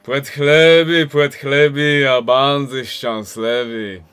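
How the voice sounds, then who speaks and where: A man's voice: medium pitch, fairly even intonation, takes it slowly, fairly bright tone, clear, resonant tone, soft background music. A man playing a jovial, preachy village priest with a Kashubian accent in an amateur radio play, speaking in a sing-song sermon manner. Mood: jovial, exclaiming. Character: older male village priest, jovial, preachy, counselling a young couple. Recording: very reverberant hall-like sound.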